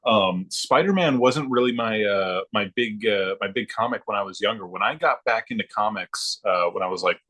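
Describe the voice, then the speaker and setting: A man's voice: on the low side, moderate pace, few pauses, bright, forceful tone. A man speaking as a podcast guest in casual chat, agreeable and thinking aloud with hesitations. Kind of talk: casual chat about comic books.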